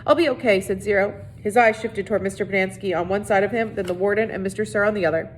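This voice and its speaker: A woman's voice: steady tone, quick pace. A woman reading a children's novel aloud as narrator. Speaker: reader. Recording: noisy recording with low rumble.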